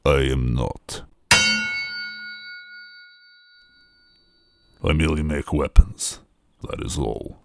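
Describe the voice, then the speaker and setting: A man's voice: rumbling low, creaky, heavy background noise, voice pushed down, exaggerated voice. A man voicing an enormous metal smith god in a story reading, stony, imperious and menacing. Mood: cold, detached. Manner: stony, slow-burning, imperious.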